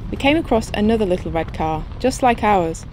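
A woman's voice: medium pitch, lively intonation, brisk, a little mellow, a little hiss or hum, low-quality recording. A woman from England in her thirties giving casual vlog commentary with lightly wry narration. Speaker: sailing vlogger.

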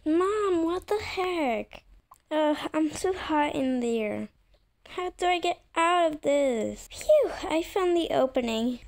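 Girl voiced by a woman, whiny bickering little sister, super high, dramatic cartoon roleplay. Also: lively intonation, takes it slowly, darkish tone, full character voice. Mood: annoyed. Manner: dramatic, protesting. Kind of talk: animated roleplay story acting.

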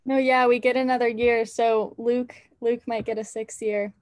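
A woman's voice: a little high, level tone, normal speed, darkish tone, dry recording. A young adult woman, a college athlete, answering press questions over a video call from a dorm room, earnest with many fillers. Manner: earnest, lots of "um" and "like". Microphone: laptop webcam on a video call.

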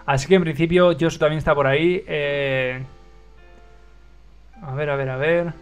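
Young man, spain spanish accent, live game caster, slightly high voice, faint music behind, chatty with fillers. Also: moderate pace, clean tone. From Madrid, Spain. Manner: excitable play-by-play, many fillers. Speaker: livestream host and caster.

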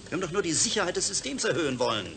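An eager, defensive project doctor in a radio play. He talks quickly with a very lively, swinging pitch, always placating and insistent. Noticeable ambient noise sits behind him. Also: gravelly, heavily acted. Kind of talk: German science-fiction radio drama acting.

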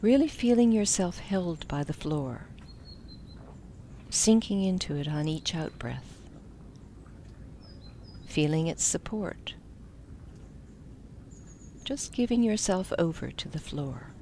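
Woman, meditation instructor, slightly low voice, gentle guided narration, soft phrase endings, faint background noise. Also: lively intonation, slow pace, pauses often.

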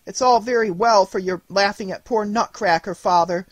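A reader voicing Marie, an earnest, excitable young girl who pleads to be believed. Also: mid-range voice, moderate pace, no room sound, pitched above the performer's own voice, close to an everyday voice. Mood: eager. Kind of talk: audiobook character reading.

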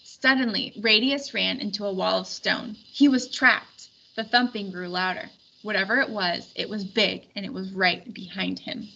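A woman reading a storybook aloud in expressive scripted narration. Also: medium pitch, normal speed, creaky, hardly any pauses, breathy edge. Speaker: storybook reader. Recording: dull recording with faint background noise.

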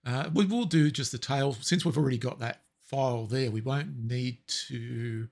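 A man with a raspy voice explaining software steps in a relaxed, step-by-step tutorial. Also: medium pitch, normal speed. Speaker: tutorial presenter. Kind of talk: screen-recorded software tutorial.

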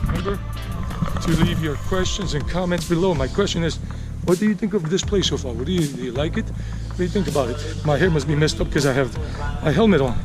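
A man in his late forties hosts a casual walking tour, speaking to his viewers in a slightly high voice. He rambles and thinks aloud as he goes. He is outdoors on a city street with a handheld camera, and music is clearly heard behind him. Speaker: travel vlogger and walking tour host. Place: outdoors, walking a leafy urban residential street.

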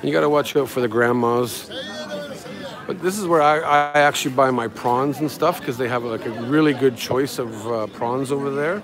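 Man, medium pitch, casual rambling tour commentary, walking live-streamer, busy outdoor crowd behind. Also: normal speed. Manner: rambling, offhand narration while walking. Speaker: live-streaming travel vlogger and guide. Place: busy outdoor market street. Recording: outdoor street with crowd and traffic. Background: street crowd, passing vehicles.

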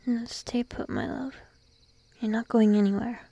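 A woman voicing a shy, needy young sheep-girl girlfriend in an intimate ASMR roleplay, soft, hesitant and drowsy, mostly whispering. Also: fairly high pitch, steady tone, gravelly, no echo, lo-fi sound. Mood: clingy, coaxing. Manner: timid, hesitant, drowsy, slow and cooing.

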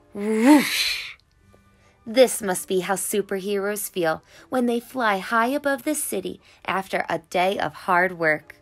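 A young adult woman narrating a picture book for children in an animated, expressive and carefully enunciated way. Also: medium pitch. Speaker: children's read-aloud storyteller.

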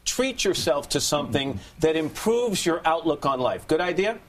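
A man's voice: on the high side, animated, normal speed, slight background noise. A middle-aged male TV host asking a panel upbeat questions in a studio. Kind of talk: morning show interview. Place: TV studio set.

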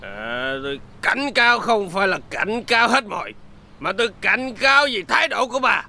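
An actor playing a boastful, bossy, tipsy village husband in a folk-tale comedy film. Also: male voice, sits high, sing-song, normal speed, creaky, pushed and bright, full character voice. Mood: indignant. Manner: tipsy, blustering speech. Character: drunken, boastful village husband, generous to drinking buddies, bossy to wife.

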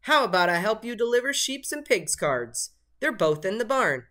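A woman's voice: mid-range voice, sing-song, moderate pace, a bit bright, voice pushed up. A woman voicing a helpful, encouraging storybook cow in an emphatic way. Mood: surprised, encouraging.

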